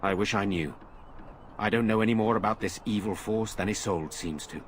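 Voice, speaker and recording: An actor playing an earnest, resolute noble lord giving orders in a video game. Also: male voice, on the low side, moderate pace, a little hiss or hum, close to an everyday voice. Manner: earnest, steady, commanding.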